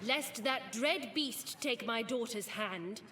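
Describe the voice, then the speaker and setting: A woman's voice: a little high, slow pace, background noise. An actress playing a regal queen and mother in a video game's stage play, giving stately, commanding rhymed orders. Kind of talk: rhyming stage-play verse in a video game. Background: game music.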